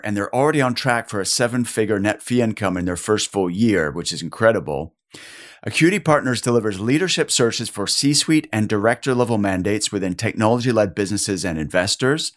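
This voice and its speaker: A man hosting a podcast, introducing his guest with eager enthusiasm. His voice sits on the low side and is a touch husky from a cold he is nursing. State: has a cold, a bit husky. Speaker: podcast host. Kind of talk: podcast interview.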